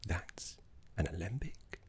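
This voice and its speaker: A man playing a genteel, fussy, courteous professor of necromancy in an ASMR roleplay, speaking in a soft, breathy, formal way with dramatic pauses. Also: deep voice, very animated, exaggerated voice. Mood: fussy, flustered.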